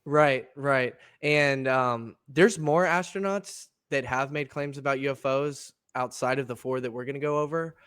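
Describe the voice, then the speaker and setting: A young adult man co-hosting a casual podcast, congested from allergies so his voice sounds slightly gravelly and nasal, easygoing with lots of fillers and laughs. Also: mid-range voice, normal speed, few pauses, fairly bright tone, dry recording, clean studio sound. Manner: easygoing, laughs often, many fillers and restarts. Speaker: podcast co-host. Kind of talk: casual chat.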